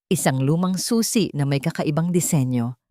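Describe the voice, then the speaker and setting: Female storyteller, scripted dramatic narration, slightly low pitch, dry studio-like sound. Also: moderate pace, mellow, no echo.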